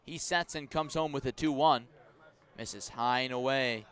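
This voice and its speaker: A man calling a baseball game live on the radio, describing each pitch in measured phrases and lifting his voice for key plays. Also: fairly bright tone, clear, resonant tone. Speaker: baseball play-by-play announcer. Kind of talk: live radio sports commentary.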